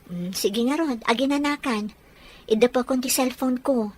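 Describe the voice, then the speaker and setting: A woman's voice: a little high, normal speed, natural voice. A woman acting a sweet, understanding young girlfriend with an Ilocano accent in a radio drama. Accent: Filipino, Ilocano. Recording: music behind the voice.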